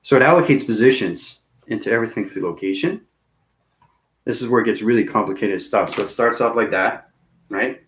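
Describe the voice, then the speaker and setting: Guy rambling on about cosmic stuff, low voice, repeats himself a lot. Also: fairly quick, a bit bright. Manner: rambling, repetitive, laughs at himself. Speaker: video host. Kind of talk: rambling cosmic monologue to viewers.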